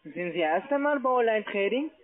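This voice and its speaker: Male phone sales agent, non-native with foreign accent, high pitch, lo-fi line. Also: lively intonation, a little fast, heavy background noise. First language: not German. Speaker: call centre agent. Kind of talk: sales call, walking customer through steps.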